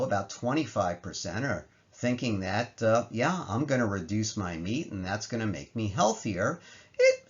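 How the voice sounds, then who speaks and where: A man lectures over slides in a fairly low voice that swings widely in pitch. He explains research conversationally and with emphasis. The voice-over recording sounds somewhat dull and muffled. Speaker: presenter, lecturer.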